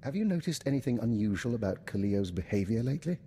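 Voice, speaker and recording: A polite, quietly sly intelligence man voiced by an actor from a video game. His medium-pitched voice is formal, precise and inquisitive. It echoes in a hall over light noise. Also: very animated, fast, close to an everyday voice. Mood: curious, discreet.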